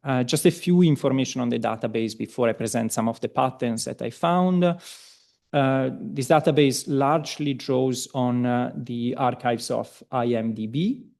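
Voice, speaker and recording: An Italian-accented man presents at an academic seminar, his speech full of ums, restarts and relaxed, self-deprecating asides. His voice has a gravelly, creaky edge and sits at a middle pitch. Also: moderate pace. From Italy. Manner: hesitant, many ums and restarts. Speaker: seminar speaker.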